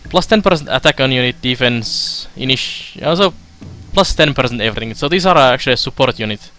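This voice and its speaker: Bright-voiced man from Finland streaming a game. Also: mid-range voice, animated, a little hiss or hum. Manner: chatty, halting, many fillers, reads game text aloud. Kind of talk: casual let's play commentary.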